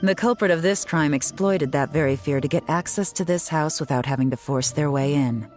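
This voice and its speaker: A woman's voice: low-pitched, a little fast, lightly acted. An actress playing a composed, sly young woman investigator in a video game, dry and teasing as she explains. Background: faint game music.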